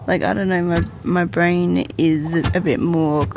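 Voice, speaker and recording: Female podcast host, lowish creaky voice, casual rambling chat, self-deprecating and informal. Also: steady tone, a little slow, background noise.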